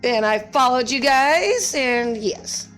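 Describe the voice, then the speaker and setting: A woman's voice: high-pitched, sing-song, slow pace, a bit bright, soft background music. A woman live-streaming a game and chatting casually with viewers, her voice strained and scratchy, apologetic and full of fillers.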